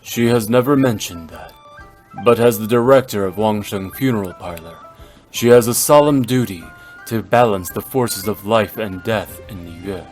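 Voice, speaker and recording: A man playing a calm, learned, dignified gentleman in a video game, speaking formally and with composure. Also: fairly low pitch, moderate pace, lightly acted. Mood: composed, reflective. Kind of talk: measured game voice acting. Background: background music.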